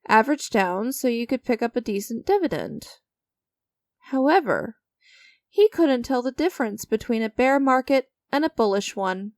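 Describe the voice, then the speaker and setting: A woman playing an enigmatic, creepy stranger in a dramatic ASMR-style audio roleplay, teasing and condescending, with deliberate theatrical pauses. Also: fairly high pitch, no echo, studio quality. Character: enigmatic, creepy female stranger, sly and knowing deal-maker.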